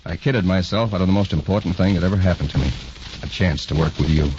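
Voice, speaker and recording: Very deep, earnest young radio-drama doctor. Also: male voice, quick pace. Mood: regretful. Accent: American. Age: young adult. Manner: earnest, intense. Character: earnest young male doctor torn between career and love. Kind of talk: 1940s radio drama acting. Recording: dull, noisy 1940s radio recording.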